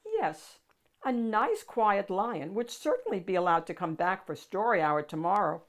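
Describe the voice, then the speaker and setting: A woman's voice: mid-range voice, big pitch swings, unhurried. A woman voicing a stern, rule-bound female head librarian from a picture book, firm, clipped and commanding. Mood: firm, conceding.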